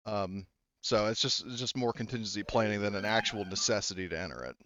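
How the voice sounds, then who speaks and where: Smooth-voiced man running a tabletop game. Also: lowish voice, fairly bright tone, no echo, lo-fi sound. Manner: halting, thinking aloud, blunt swearing. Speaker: game master. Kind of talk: running a tabletop roleplaying game, casual talk.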